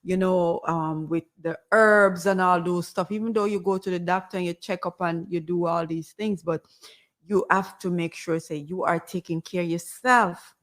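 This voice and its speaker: A woman with a Jamaican accent, chatting casually as a guest and sharing health tips in a gentle, rambling way. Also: on the low side, fairly even intonation, normal speed. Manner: gentle, rambling, many fillers. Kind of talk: casual health advice chat.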